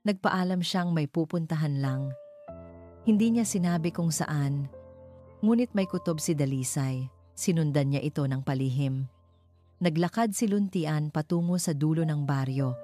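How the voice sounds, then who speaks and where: A woman's voice: low voice, mellow. A woman narrating a dramatic scripted story. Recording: music behind the voice.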